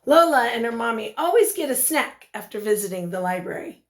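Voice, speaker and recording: A woman teacher reading a picture book aloud to young children, expressively, pausing to put questions to them. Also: on the high side, lively intonation.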